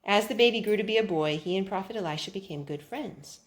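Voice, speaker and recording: A woman reading a Bible story aloud to children, with expressive, deliberate phrasing and drawn-out words. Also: medium pitch.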